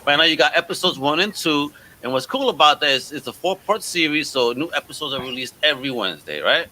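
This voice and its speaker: A man's voice: fairly high pitch, animated, quick pace, pushed and bright, a little hiss or hum, low-quality recording. A man hosting a casual podcast interview, slangy and enthusiastic, hyping up the guests. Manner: enthusiastic, hype-giving. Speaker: podcast host.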